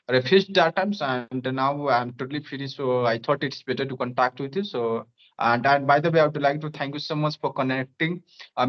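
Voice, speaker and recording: Young man, mid pitch, hesitant guest, dull call audio. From Nepal. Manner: hesitant, many fillers. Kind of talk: casual conversation practice.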